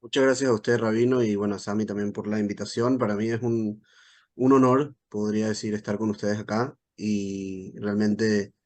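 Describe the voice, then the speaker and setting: A man in his thirties from Venezuela with a Venezuelan accent, speaking as a guest to an audience in a measured way, with frequent hesitation sounds. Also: on the low side, little pitch movement, a little mellow. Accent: Venezuelan Spanish. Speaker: guest speaker, military spokesperson. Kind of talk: talk to a live audience.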